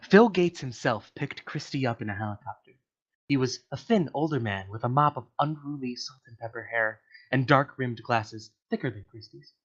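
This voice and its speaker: A man's voice: medium pitch, lively intonation, normal speed, slightly rough. A man reading a story aloud in an amateur dramatic style, now and then stumbling over words and correcting himself. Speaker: reader of a comedic story to friends in a voice channel. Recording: dull lo-fi voice chat audio.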